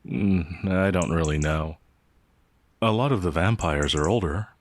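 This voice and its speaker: Man playing a timid, slow-talking gentle werewolf. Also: low-pitched. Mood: wistful. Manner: hesitant, stammering, meek and naively earnest. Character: timid, gentle, innocent male werewolf farmhand, older than he appears. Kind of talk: audio roleplay character acting.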